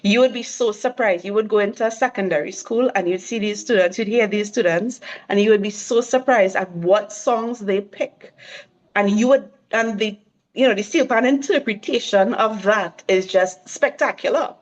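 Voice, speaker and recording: A woman's voice: mid-range voice, normal speed. Trinidadian woman on a lo-fi call. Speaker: guest. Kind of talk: casual conversation.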